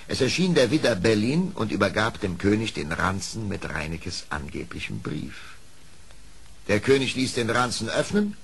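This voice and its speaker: A man narrating an old audio play as a storyteller, measured and expressive, in dramatic scripted style. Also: deep voice, vocal fry. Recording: faintly hissy old audio play record.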